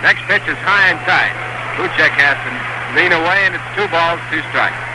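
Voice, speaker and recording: A male announcer giving steady, measured play-by-play of a baseball game on a 1960s radio broadcast, rising when the action picks up. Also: fairly high pitch, very noisy. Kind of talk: live baseball radio commentary. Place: ballpark broadcast booth.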